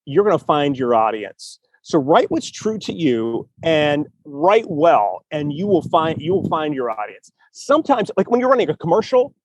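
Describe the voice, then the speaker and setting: A man's voice: on the high side, big pitch swings, moderate pace, few pauses, no echo, poor audio. A man hosting a podcast, giving earnest, encouraging writing advice in a casual chat and repeating phrases for emphasis. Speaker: podcast host, writing coach.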